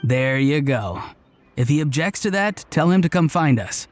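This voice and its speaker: A man's voice: on the high side, animated, normal speed, faint music behind, lightly acted. An actor playing an upbeat, loyal, playful young man with a breezy, earnest manner in a video game. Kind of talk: video game cutscene voice acting.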